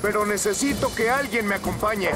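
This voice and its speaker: Man playing a high-voiced, friendly cartoon fellow. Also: fairly quick, lightly acted. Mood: helpful. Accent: Latin American Spanish. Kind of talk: animated children's cartoon dub. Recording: music under the dialogue.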